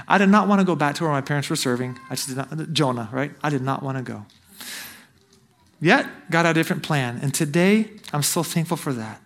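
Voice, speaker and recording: High-voiced man preaching a sermon. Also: fairly bright tone. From Brazil. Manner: earnest, exhorting, asks the listeners questions. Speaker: missionary preacher.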